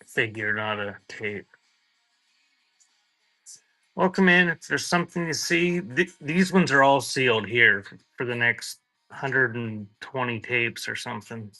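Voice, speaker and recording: Bright-voiced man selling live online. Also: medium pitch, a little slow, pauses often, soft background music. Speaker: live auction seller and host. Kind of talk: casual live-selling chat.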